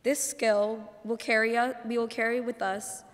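A woman's voice: fairly high pitch, flat delivery, normal speed. Teenage student girl, big reverberant space. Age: teenager, about 14. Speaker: graduating student speaker. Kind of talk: reading a prepared ceremony speech. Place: large church, indoors. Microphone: podium microphone. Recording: reverberant church podium recording.

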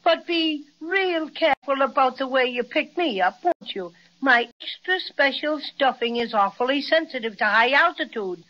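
A woman's voice: high voice, expressive, normal speed, few pauses, sharp tone. A woman voicing a small, cautious stuffed toy bear in a 1930s radio drama, fretful and pleading. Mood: worried. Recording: muffled 1930s radio transcription.

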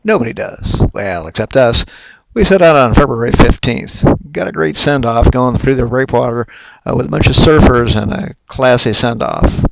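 An older man, a sailing captain, narrating his own trip video in a relaxed, folksy way with some fillers. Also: mid-range voice, moderate pace, gravelly, heavy background noise.

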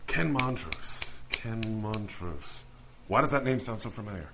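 A man playing a flustered, incredulous lawyer out of his depth in an audio drama, excitable and prone to stammering. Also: on the low side, a little slow, full character voice. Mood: hesitant, puzzled.

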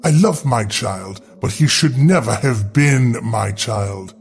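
A man's voice: medium pitch, lively intonation, slow, soft background music, voice pushed down. A man voicing a proud aristocratic ghoul lady. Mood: angry, stern. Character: proud, austere aristocratic female ghoul mother.

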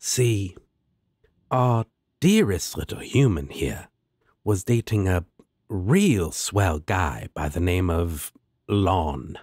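Man acting a smug, slow-drawling male demon. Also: low voice, expressive, darkish tone, no echo, studio quality, heavily acted. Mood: sardonic, explaining. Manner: drawling, sardonic, teasing. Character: smug, teasing male demon, sardonic yet protective. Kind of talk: audio roleplay acting.

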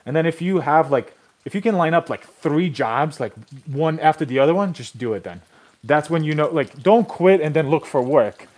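A man's voice: a little high, animated, moderate pace, darkish tone. A man in his thirties chatting loosely as he works, full of fillers and restarts. He sounds like he's explaining on the fly, talking to viewers from a renovation site through a phone camera. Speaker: tile installer streaming his work. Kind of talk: casual live-stream how-to chat. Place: bathroom renovation site and snowy driveway.

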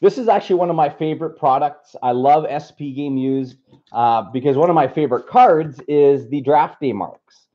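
A man from Canada hosting a live card break from a table indoors, upbeat and slightly raspy from being unwell. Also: on the high side, normal speed, dull audio. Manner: upbeat, reads off a list of names. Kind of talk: casual live stream chat.

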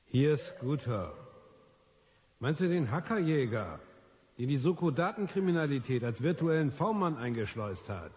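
A man's voice: medium pitch, sing-song, normal speed, darkish tone, natural voice. An actor playing a sly, taunting male underground hacker in a radio drama, speaking in a conspiratorial manner. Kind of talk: German radio drama dialogue. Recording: long reverberant echo.

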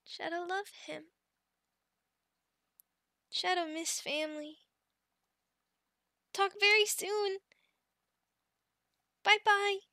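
A woman playing a sweet, shy young adult female goblin in an ASMR roleplay, speaking in halting broken phrases and calling herself by name. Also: extremely high pitch, bright, forceful tone, no room sound, clean studio sound, exaggerated voice. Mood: proud, affectionate. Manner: halting broken speech, refers to herself in third person, giggly.

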